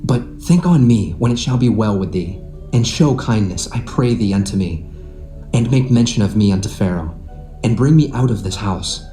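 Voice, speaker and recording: An actor playing an upright, earnest young Hebrew servant in a dramatised scripture reading. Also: male voice, on the low side, lively intonation, moderate pace, warm tone, echoey room, soft background music, lightly acted. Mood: solemn.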